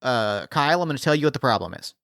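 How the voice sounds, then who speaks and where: A man playing a smooth-talking arms dealer. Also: medium pitch, expressive, fast, fairly bright tone, no echo, clean studio sound. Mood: salesy. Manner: smooth salesman patter, placating. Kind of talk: improvised tabletop roleplay.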